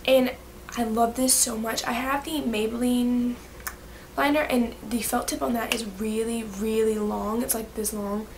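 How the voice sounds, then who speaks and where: A woman's voice: on the high side, fairly even intonation, slight background noise. A young woman with a stuffy, cold-affected voice, gushing about her favorite products in a casual vlog recorded in her bedroom. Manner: bubbly, gushing, quick asides. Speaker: beauty vlogger. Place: bedroom, indoors.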